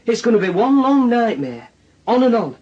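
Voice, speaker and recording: An actor playing a persistent, coaxing police detective with a Northern English accent and dry irony, in a naturalistic radio drama. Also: male voice, extremely high pitch, sing-song, moderate pace, dark tone, dull audio, full character voice. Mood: coaxing, insistent. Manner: coaxing, dryly ironic. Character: wheedling, persistent plain-spoken police detective.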